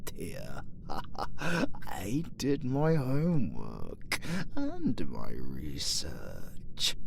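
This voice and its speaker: A British man voicing a skeletal undead necromancer in an audio roleplay, a vengeful, flirtatious villain with a creaky, melodramatic delivery that moves between hushed and screamed. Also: on the low side, background noise, exaggerated voice. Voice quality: creaky, breaking into shrieks and whispers. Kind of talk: audio roleplay acting, ASMR-leaning.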